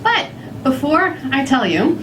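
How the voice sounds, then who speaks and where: A woman from Staten Island giving a live stage presentation, talking in a chatty, halting way with many fillers. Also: high-pitched, big pitch swings. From Staten Island, New York. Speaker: presenter, documentary director. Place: stage in a venue with a live audience. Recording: distant mic, audience noise behind.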